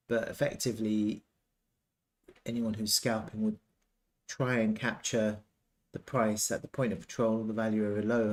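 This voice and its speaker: Man casually thinking aloud, halting delivery. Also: mid-range voice, darkish tone. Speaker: trading analyst presenting chart analysis. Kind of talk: casual off-the-cuff chart commentary.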